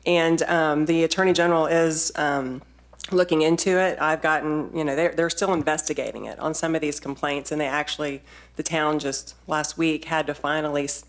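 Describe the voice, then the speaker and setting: A woman in her forties speaking at a podium in a council chamber, insistent and quick to interrupt. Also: low voice, steady tone. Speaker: resident speaking at a public meeting. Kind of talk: public comment at a town board meeting. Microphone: standing podium mic from a distance.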